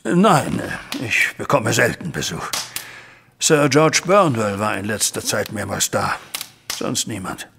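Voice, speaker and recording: A man's voice: lowish voice, sing-song, slow pace, gravelly, sharp tone, a little hiss or hum, heavily acted. An actor playing an anguished middle-aged father in an audio drama, speaking in a formal, agitated and dramatic way. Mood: despairing. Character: distraught, anguished banker father recounting a family scandal. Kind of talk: German audio drama acting. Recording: studio audio drama with music underneath.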